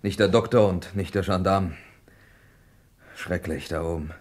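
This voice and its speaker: A defensive aggrieved farmer for a radio mystery, lowish voice, always protesting. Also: male voice, measured pace. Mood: shaken. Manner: evasive, protesting. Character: defensive, aggrieved French farmer, a suspected brother. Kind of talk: German radio crime drama acting.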